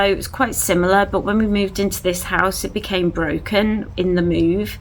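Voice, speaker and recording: A woman's voice: lowish voice, slight background noise, low-quality recording. A woman chatting at home in a casual vlog, gushing over things she bought. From England. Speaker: vlogger. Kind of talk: casual haul chat to camera. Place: at home indoors.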